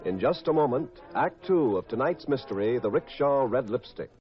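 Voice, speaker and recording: A man's voice: medium pitch, expressive, moderate pace. A man announcing a 1950s radio mystery in a dramatic scripted style. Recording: dull old radio recording, loud music.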